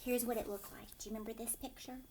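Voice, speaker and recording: A woman teacher reading a storybook aloud to children, expressive, emphatic on key words and often asking questions. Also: on the high side, fast, slight background noise.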